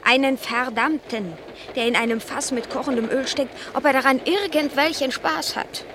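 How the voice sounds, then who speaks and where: An actress playing a vain, irritable house cat in a German radio play, speaking with imperious, melodramatic disdain in a creaky voice. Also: female voice, high voice, fairly even intonation, moderate pace, background noise, close to an everyday voice. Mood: aggrieved.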